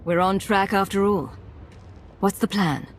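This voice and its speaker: A woman's voice: medium pitch, measured pace, slight background noise, close to an everyday voice. A woman playing a cool, decisive agent in command in a video game, controlled and authoritative. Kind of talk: video game cutscene acting.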